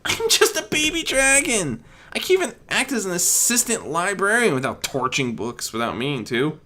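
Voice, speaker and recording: A man voicing an insecure, hot-tempered young dragon in an audiobook story, with a high, strained voice and plaintive outbursts. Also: sing-song, moderate pace, sharp tone, heavily acted. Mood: angry wailing.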